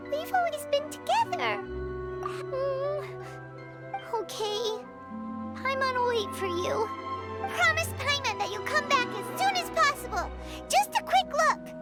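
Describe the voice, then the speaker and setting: Very high, squeaky fairy companion. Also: female voice, exaggerated voice. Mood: anxious, pleading. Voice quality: squeaky, childlike. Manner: refers to self in third person, emotional. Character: small, excitable, childlike floating fairy companion, female. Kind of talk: animated video game voice acting. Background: background music.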